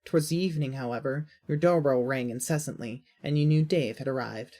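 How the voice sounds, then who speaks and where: A woman's voice: very deep voice, a little fast, mellow. A woman reading scripted second-person story narration.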